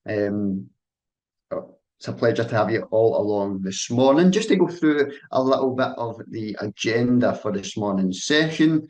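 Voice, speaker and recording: A man's voice: fairly low pitch, expressive, a little slow, a little mellow. A young Scottish man, an account manager, presenting a sales webinar over a call in a friendly, loose style with frequent ums and restarts. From Scotland.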